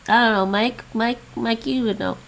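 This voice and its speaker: Gravelly-voiced woman studying languages aloud. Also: fairly high pitch, steady tone, normal speed, fairly bright tone. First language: English and Chinese. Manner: thinks aloud, repeats foreign phrases. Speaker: streamer practicing languages. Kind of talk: casual chat while studying languages.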